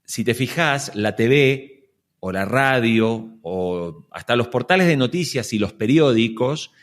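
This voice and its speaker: Man interviewing, medium-pitched. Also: lively intonation, measured pace. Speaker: podcast host, interviewer. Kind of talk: conversational interviewing.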